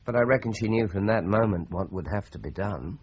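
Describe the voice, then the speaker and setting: An actor playing a methodical British police inspector in a radio drama, explaining things in a deliberate way. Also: male voice, fairly low pitch, husky, muffled recording. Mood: explaining, relishing. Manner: deliberate, expository. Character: measured, methodical male police inspector explaining a murder case.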